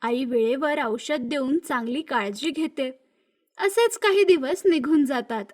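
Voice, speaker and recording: A female storyteller with a very high voice narrates a scripted children's tale. Her delivery is that of an animated moral story reader. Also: measured pace.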